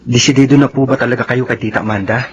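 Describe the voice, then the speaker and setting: A Filipino actor playing a concerned, respectful young adult son in a radio drama, polite and earnest. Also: male voice, medium pitch, steady tone, fast talker, breathy edge. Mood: gently worried. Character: concerned, respectful grown child questioning a father. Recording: dull radio sound, faint noise.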